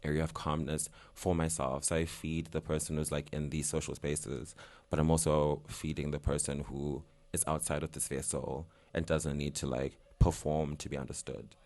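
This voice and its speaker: A man's voice: rumbling low, flat delivery, moderate pace, darkish tone. A young man from Zimbabwe, a guest artist talking casually in an interview, rambling with lots of fillers and laughing often.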